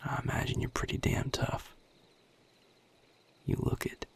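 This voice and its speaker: A man playing a guarded, world-weary vampire in an intimate audio roleplay, with a Southern US accent and a breathy, gravelly drawl, speaking in measured, hushed phrases. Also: in a whisper, close to an everyday voice. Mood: grave warning. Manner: measured, hushed, plain-spoken with deliberate pauses. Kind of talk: intimate audio roleplay acting addressed to the listener.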